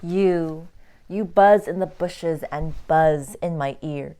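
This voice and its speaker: A woman reading a children's picture book aloud like a teacher, with expressive, deliberate phrasing for young listeners. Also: low voice. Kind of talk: children's storybook read-aloud.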